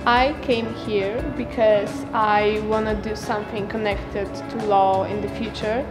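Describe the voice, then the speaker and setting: A teenage girl from Poland, a student participant, speaking thoughtfully and slowly with fillers. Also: mid-range voice, level tone, reverberant, in a large hall, loud music behind the voice, poor audio. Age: teens. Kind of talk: casual interview answer.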